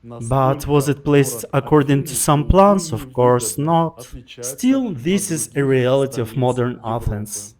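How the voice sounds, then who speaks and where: A middle-aged man with a non-native English accent, narrating a walking vlog in an earnest, opinionated and measured way. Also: mid-range voice, expressive, a little mellow. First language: not English. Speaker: vlogger.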